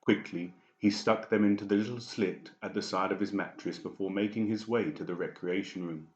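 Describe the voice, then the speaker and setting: Casting: male audiobook narrator, very low voice, echoey and slightly muffled recording. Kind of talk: scripted fiction narration. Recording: dull, echoey room recording.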